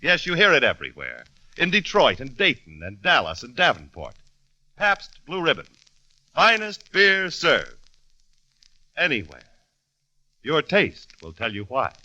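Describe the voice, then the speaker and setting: A man's voice: mid-range voice, huge pitch swings, unhurried, pauses often, bright, forceful tone, lo-fi sound. Emphatic old-time radio announcer, man. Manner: emphatic, salesy.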